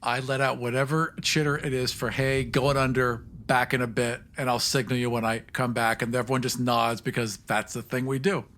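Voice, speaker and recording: A man's voice: mid-range voice, flat delivery, moderate pace, hardly any pauses, a bit bright, slight background noise. A man playing a tabletop game, improvising his character's story as he talks.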